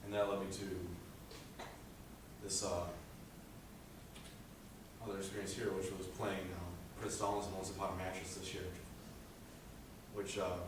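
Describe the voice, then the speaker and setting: Teen boy, low monotone voice, earnest student presenter, distant mic in an echoey school room with noise. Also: unhurried, pauses often. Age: teens. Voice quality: low speaking voice. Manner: earnest, rambling with many "uh" fillers. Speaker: high school student presenting a senior project. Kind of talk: presentation speech.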